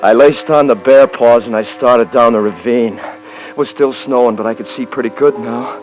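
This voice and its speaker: A man's voice: mid-range voice, lo-fi sound, close to an everyday voice. An actor playing a rugged, haunted hunter, narrating his ordeal in a folksy, tense manner in a 1950s radio drama. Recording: vintage 1950s radio recording.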